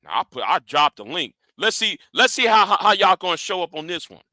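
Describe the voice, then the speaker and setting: High, forceful man ranting at his critics. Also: very animated, a little fast, no room sound, clean studio sound. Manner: confrontational and profane, repeats and restarts words; challenging his audience directly. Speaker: YouTube commentator. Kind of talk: ranting commentary vlog.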